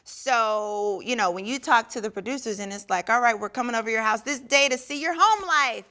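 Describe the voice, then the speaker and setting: A woman's voice: on the high side, fairly quick, pushed and bright. A young woman earnestly reflecting on a hard personal time in a sit-down conversation. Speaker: reality show cast member. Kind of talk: personal confession in a sit-down interview.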